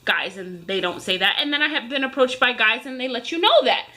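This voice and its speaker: A woman's voice: a little high, lively intonation, fairly quick, sharp tone. A woman in her thirties speaking casually and emphatically to viewers, like a vlogger airing a rant. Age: 30s. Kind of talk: casual ranting chat to viewers.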